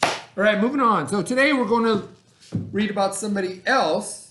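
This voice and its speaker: Guy teacher talking to little kids, kinda high voice, lots of check-in questions, roomy echo. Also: normal speed, fairly bright tone. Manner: conversational, checks understanding with questions and repetition. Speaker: elementary school teacher. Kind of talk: read-aloud lesson for children, casual explaining. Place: indoor room, remote video lesson. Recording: echoey room.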